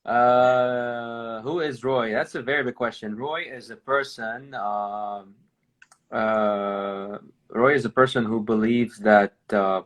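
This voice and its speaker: A man's voice: medium pitch, fairly even intonation, takes it slowly. Man with a Lebanese accent over a call. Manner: switches between English and Arabic mid-sentence; talks with many hesitations and restarts. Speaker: podcast guest. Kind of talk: casual interview over a call. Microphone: video call.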